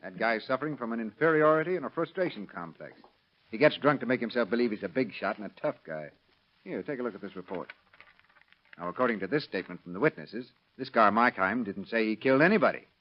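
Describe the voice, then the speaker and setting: Actor as a fast-talking, wisecracking cynical cop. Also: male voice, medium pitch, lively intonation, close to an everyday voice. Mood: cynical, assured. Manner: sardonic, slangy, tough. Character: cynical, wisecracking police partner who scoffs at hunches. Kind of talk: 1930s radio crime drama acting. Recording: dull lo-fi vintage radio recording.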